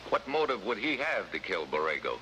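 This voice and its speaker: A hard-boiled American special agent from an early 1950s TV adventure. His voice is fairly low, terse and sardonic. The old soundtrack is clearly noisy. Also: very animated, a little fast. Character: tough, wisecracking American government special agent.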